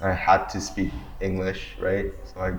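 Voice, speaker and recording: Low gravelly young man, roomy sound. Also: monotone, measured pace. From Japan. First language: Bengali. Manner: laid-back, filler-heavy talk. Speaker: discussion participant. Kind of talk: casual discussion. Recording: roomy echo, faint background hum.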